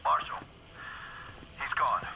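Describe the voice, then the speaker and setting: A man's voice: takes it slowly, lightly acted. A male actor in a video game cutscene playing a cool, hard-edged veteran intelligence operative with a clipped, dry delivery. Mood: grim, dry. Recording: very noisy, clicking radio-like sound.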